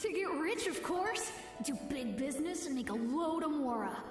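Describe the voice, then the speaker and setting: A woman's voice: high voice, very animated, normal speed, distant mic. A brash little boy, roomy sound. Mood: boastful. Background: game music.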